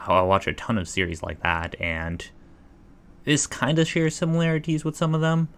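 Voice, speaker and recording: A man's voice: medium pitch, little pitch movement, moderate pace, fairly bright tone, clean tone. A man giving casual reaction commentary as a livestreamer, hesitant and rambling as he thinks aloud.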